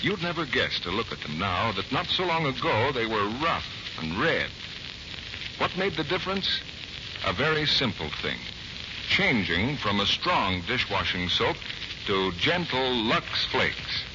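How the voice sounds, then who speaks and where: A male radio announcer of the 1940s with a polished, persuasive sales delivery. His medium voice swings in pitch, on a muffled, noisy broadcast. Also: big pitch swings, a little slow.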